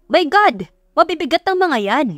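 A woman's voice: sits high, sing-song, moderate pace, no echo, clean studio sound, voice pushed up. A woman voicing a stern young male CEO in an audio love story, blunt, serious and protective. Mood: exasperated, worried.

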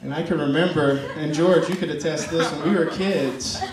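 Young male best man, slightly high voice, halting and teasing wedding toast, roomy PA sound. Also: steady tone, a crowd in the background. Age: young adult. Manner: halting, affectionate, teasing delivery. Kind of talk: informal joking wedding speech. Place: large wedding reception tent at night. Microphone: handheld PA mic, picked up by distant camera. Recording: distant echoey PA sound, noisy guest-filled tent. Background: noisy wedding guests.

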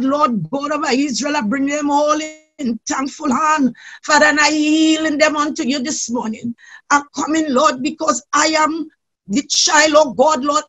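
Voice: high-pitched, a bit bright. A worship leader praying aloud in a fervent, impassioned, pleading way, calling on God again and again.

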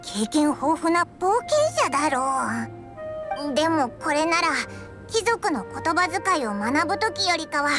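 A tiny, excitable fairy sidekick from an anime game, squeaking extremely high with big melodic swings. The delivery is cute, blunt and whiny, with rough boyish phrasing, over clear background music. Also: female voice, unhurried. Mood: skeptical, cheeky. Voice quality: squeaky, childlike. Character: small childlike floating fairy companion, excitable, blunt and whiny. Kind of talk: Japanese anime-style game dialogue.

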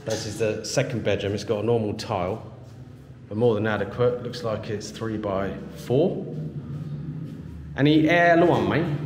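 A man's voice: on the low side, unhurried, long pauses, noisy background. A man giving a casual walk-through of a house for sale, thinking aloud and trying out broken Thai phrases. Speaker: vlogger touring a house for sale. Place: inside an empty house with hard floors. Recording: echoey bare rooms.

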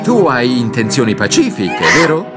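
A woman's voice: very deep voice, sing-song, normal speed. A woman narrating a children's cartoon, expressively telling the story and speaking directly to the characters and young viewers. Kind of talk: animated scripted storytelling to children. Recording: music bed under the voice. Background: cartoon music.

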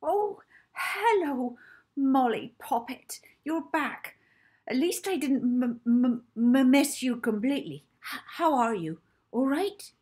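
A woman's voice: high-pitched, animated, slow pace, breathy edge, pitched above the performer's own voice, exaggerated voice. A woman voicing a plump, kindly, affectionate female carer who stammers, in a children's storybook reading. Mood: delighted, affectionate. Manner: stammering, warm and fussing.

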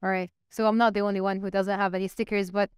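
A young woman moderating a live panel on stage with a handheld mic, engaging the audience with quick polls. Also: mid-range voice, flat delivery, smooth, no room sound, studio quality. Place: stage in a large event hall.

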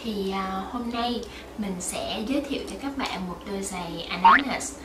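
A woman's voice: mid-range voice, moderate pace, roomy sound, very noisy. A young woman vlogging to the camera in a small bedroom, chatting in a friendly way with many fillers. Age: young adult. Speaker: vlogger, shoe painter. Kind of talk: casual vlog talk to camera.

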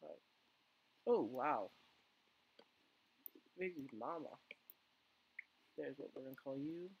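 A man with a hoarse voice he says he is losing, giving rambling casual let's play commentary full of fillers and restarts. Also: medium pitch, takes it slowly, lo-fi sound, a little hiss or hum. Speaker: gaming channel host.

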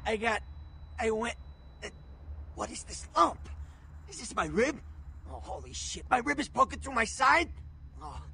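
An actor playing a badly beaten, bitter gangster ghoul in a video game, groaning in pain. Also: male voice, extremely high pitch, big pitch swings, unhurried, vocal fry, long pauses, bright, forceful tone, a little hiss or hum, heavily acted. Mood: agonized, panicked. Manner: groaning, pained, gritty streetwise talk. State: in pain, straining.

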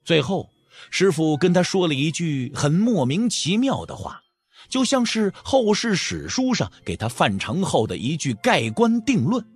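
A man narrating an audio drama with expressive, literary, scripted storytelling. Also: a little high, measured pace, husky, no room sound.

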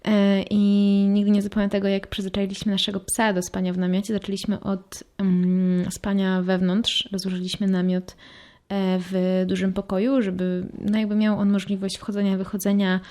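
A woman's voice: medium pitch, level tone, normal speed, mellow. A woman co-hosting a podcast in a casual chat, telling things in a giggly, self-deprecating way. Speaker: podcast co-host.

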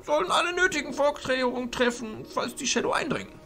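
A man playing a stern, formal archchancellor of mages in a fantasy audio drama, speaking in a commanding, deliberate way. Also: very high-pitched, expressive, sharp tone, soft background music, heavily acted. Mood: grave. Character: stern authoritative male archchancellor of illusionist mages.

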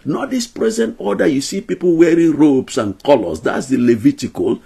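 Older man teaching, husky gravelly voice. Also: a little high, lively intonation, moderate pace, mellow. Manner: earnest, emphatic, counts out numbered points. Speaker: Bible teacher. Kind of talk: teaching a Bible lesson to camera. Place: small indoor room at home.

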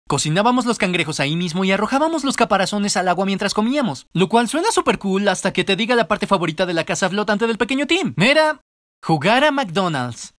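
Fast, high-pitched expressive male storyteller. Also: no room sound, studio quality. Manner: expressive, comedic, self-deprecating. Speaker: narrator of an animated storytime video. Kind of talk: animated storytime narration, fan dub.

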